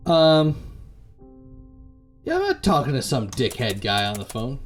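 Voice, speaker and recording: A man's voice: mid-range voice, wildly swooping pitch, brisk, fairly bright tone. A man reading a visual novel aloud as a let's play host, with casual, irreverent, slangy commentary. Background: music.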